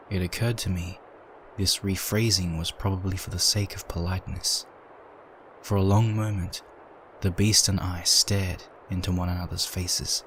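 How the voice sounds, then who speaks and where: A man reading a dark story aloud in a measured, dramatic narration. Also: low-pitched, slight background noise, low-quality recording.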